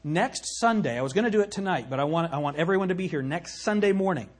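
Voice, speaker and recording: A man preaching a sermon from the pulpit, emphatic, swinging from loud peaks to low, quiet lines. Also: expressive, quick pace. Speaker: pastor.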